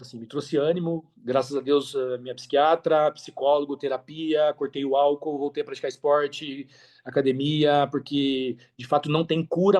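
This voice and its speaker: A man's voice: on the high side, a little mellow. A Brazilian man speaking as a podcast guest, telling his story candidly and conversationally, with slang and frequent tag questions. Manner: talkative, peppers speech with tag questions and slang.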